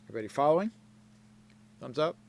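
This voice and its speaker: A man teaching a live mixing class, explaining slowly and checking in with his students as he goes. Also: a little high, lively intonation, vocal fry. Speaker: mixing instructor. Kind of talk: live online class lecture.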